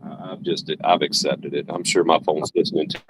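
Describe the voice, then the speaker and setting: A man's voice: rumbling low, steady tone, fairly quick. A man joining a casual online chat as a guest, with dry, joking remarks. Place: Raleigh. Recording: dull lo-fi call with background noise.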